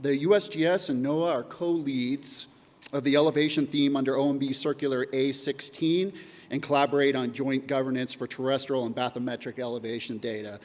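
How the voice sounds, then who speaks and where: A man's voice: medium pitch, fairly even intonation, fairly quick, darkish tone, big-room sound. A man giving a prepared conference presentation as a coordinator, reading steadily with occasional fillers. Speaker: data acquisition coordinator. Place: conference hall.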